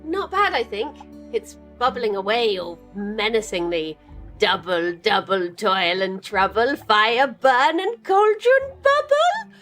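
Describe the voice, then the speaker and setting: A woman's voice: on the high side, sing-song, takes it slowly, a bit bright, heavily acted. A woman playing a cheerful, chatty young witch in an audio drama, rambling a little hesitantly and eager to please. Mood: playful. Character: cheerful, chatty young witch, tall rabbit-eared druid companion. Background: music.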